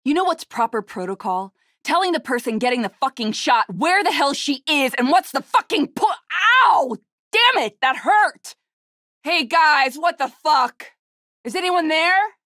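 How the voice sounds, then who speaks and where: A woman's voice: high voice, expressive, measured pace, pushed and bright, no room sound, studio quality, exaggerated voice. An actress playing a tough, guarded young female agent in a horror video game, with a terse, sardonic manner. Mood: angry, agitated.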